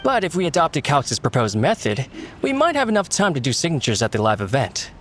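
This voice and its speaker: Eager polite young assistant in a game, higher voice, really animated pitch. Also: male voice, fairly quick, slight background noise. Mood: eager, relieved. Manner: eager, respectful. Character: earnest, deferential young male publishing assistant. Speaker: voice actor in a video game. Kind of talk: scripted game dialogue. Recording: faint music behind.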